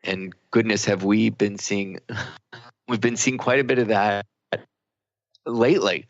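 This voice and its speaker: A man's voice: fairly low pitch, fairly even intonation, slow, no room sound, muffled recording. A man hosting a radio talk show in a casual, conversational way.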